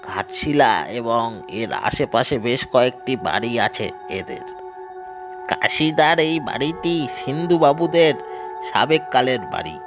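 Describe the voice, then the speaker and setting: অভিনেতার কণ্ঠে ধীর, গম্ভীর সাবধানী স্থানীয় লোক, পেছনে বাজনা. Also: male voice, medium pitch, lively intonation, slow pace, music in the background. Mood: grave, warning. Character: grave local man recounting a haunted house's history. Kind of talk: dramatised horror audio story.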